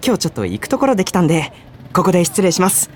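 A woman's voice: on the low side, very animated, very fast, darkish tone, a little hiss or hum. A woman playing a young male junior office worker, polite, earnest and oblivious, in a radio drama. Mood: apologetic, puzzled. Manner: polite casual junior speech, eager.

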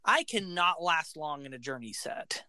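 Bright high-voiced man, eager music talk. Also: lively intonation, moderate pace, clear, resonant tone, dry recording, clean studio sound. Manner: enthusiastic, fact-filled, says "like" often. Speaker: podcast co-host. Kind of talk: casual music chat.

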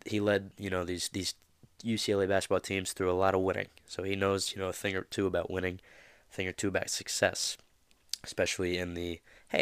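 A man's voice: low-pitched, a bit bright, no room sound, clean studio sound. A man hosting a motivational podcast, talking in a casual, rambling way with many fillers. He is a little nasal from a slight cold. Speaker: podcast host.

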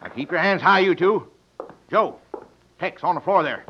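An actor playing a gruff, commanding Old West sheriff with a Western American accent, in an old-time radio western. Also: male voice, high-pitched, lively intonation, normal speed, slight background noise. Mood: stern, commanding. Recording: dull vintage radio recording.

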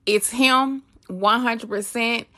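Podcast host, woman, fairly high pitch, dry sound, gushing and casual delivery. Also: fairly even intonation, slow pace, bright, forceful tone, no echo. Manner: effusive, informal, laughs easily. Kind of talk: casual podcast hosting and interview chat.

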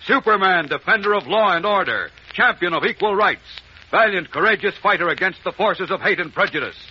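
A man's voice: high-pitched, expressive, brisk, husky. A man announcing and narrating a 1940s radio serial in a dramatic, emphatic scripted style. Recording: muffled, faintly noisy 1940s radio recording.

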